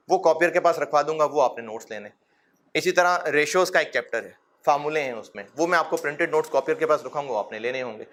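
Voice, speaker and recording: Middle-aged man, accounting lecturer: bright, forward voice, pitched a touch high, coaxing and fatherly with the class. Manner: fatherly and encouraging, addresses students as son; rhetorical questions, gentle humor.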